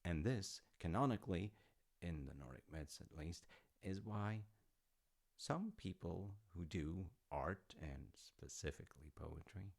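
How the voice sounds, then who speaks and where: A man acts out an easygoing middle-aged dad in an intimate audio roleplay. His voice is very deep, with a heavy gravelly creak. He takes his time, speaking slowly and wandering into wry, hesitant asides as he tells his tale. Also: expressive, darkish tone, full character voice. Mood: wry, amused. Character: easygoing dad telling his grown child Norse myths. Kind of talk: intimate conversational storytelling roleplay.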